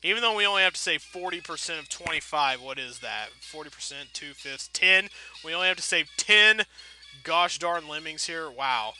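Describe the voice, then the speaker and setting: A man's voice: on the high side, lively intonation, normal speed, music in the background. A man giving casual, rambling gameplay commentary, thinking aloud in a wry, self-deprecating way with playfully folksy turns of phrase. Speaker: video game let's player.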